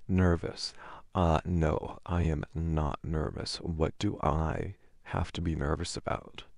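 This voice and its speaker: Man acting a low, gravelly, flustered boyfriend. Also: darkish tone, no room sound, studio quality, exaggerated voice. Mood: flustered, embarrassed. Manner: hesitant, halting, wordy. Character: flustered, sheepish, affectionate boyfriend. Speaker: audio roleplay performer. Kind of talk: ASMR boyfriend roleplay.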